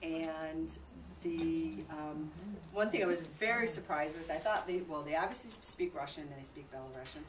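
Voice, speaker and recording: Female presenter, low voice, conversational explainer giving an informal group talk; noisy, echoing room recording. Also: moderate pace, few pauses. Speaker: presenter reporting on a charity trip. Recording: distant mic in noisy, echoey room.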